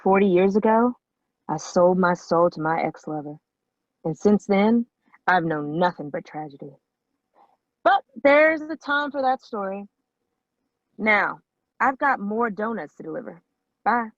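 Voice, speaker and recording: Woman playing doomy donut baker lady, deadpan, middle pitch, kinda lo-fi sound, comedy read. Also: a little slow, pauses often, no echo. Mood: tragic, brisk. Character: tragedy-steeped woman donut baker, solemnly morbid. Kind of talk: comedy script table read. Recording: slightly dull, lo-fi, dry sound.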